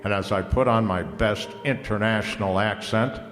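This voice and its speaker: A man's voice: on the low side, fairly even intonation, normal speed, a bit bright, natural voice. An actor playing a wry, tough private detective who narrates his case in old-time radio drama style, full of wisecracks. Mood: wry, confident. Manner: wisecracking, hard-boiled. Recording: echoey hall, long reverb, faint music.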